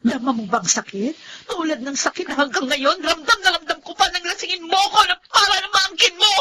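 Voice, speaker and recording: High-voiced, bitter, vengeful Filipino woman. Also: brisk. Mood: anguished, accusing. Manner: anguished, emphatic dramatic delivery. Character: bitter, wounded, vengeful woman betrayed in love. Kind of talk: Filipino melodrama acting.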